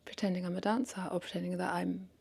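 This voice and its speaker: A woman's voice: lowish voice, little pitch movement, quick pace, gravelly, darkish tone, breathy edge. A woman talking casually in an unscripted vlog, rambling and correcting herself as she thinks aloud. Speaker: content creator, vlogger.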